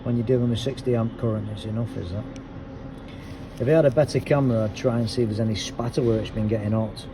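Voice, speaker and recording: A middle-aged man, a car mechanic, talking casually while he works through a fault, his voice hoarse and fading, with his phrases trailing off quietly. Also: mid-range voice, fairly even intonation, fast talker. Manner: chatty, thinks aloud, trails off quietly at the end of phrases. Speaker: car mechanic, auto electrician. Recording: noisy workshop with vehicle sounds.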